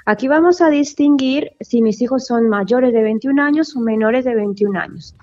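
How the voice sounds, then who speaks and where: A woman's voice: a little high, moderate pace, darkish tone, highs cut off. A woman lawyer explaining immigration paperwork in a radio interview, full of asides and self-corrections. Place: office in Valencia, Spain.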